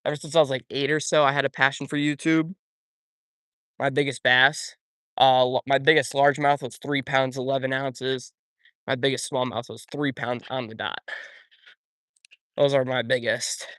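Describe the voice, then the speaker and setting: A man's voice: mid-range voice, level tone, moderate pace, long pauses, fairly bright tone, no room sound. Chatty young man, dry close sound. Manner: casual, rambling, answers viewer chat. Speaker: fishing YouTuber. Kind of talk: live-stream chat while fishing. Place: outdoors by a pond.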